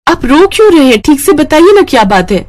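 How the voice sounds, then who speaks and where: Lady doing a supportive wife in a cartoon moral tale, earnest n soothing, animated pitch. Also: brisk. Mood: worried, indignant. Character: caring, supportive wife of a poor cook. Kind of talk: animated moral story dialogue.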